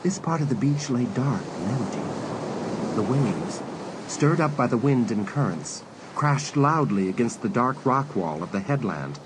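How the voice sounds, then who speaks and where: A man acting as the measured storyteller of a suspense audio drama, reading scripted narration. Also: medium pitch, expressive, noisy background, lightly acted. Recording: very loud ambient sound effects behind.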